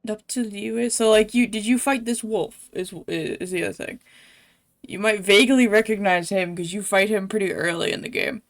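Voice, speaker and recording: Woman, game streamer, medium pitch, casual livestream chat, informal, halting explanations.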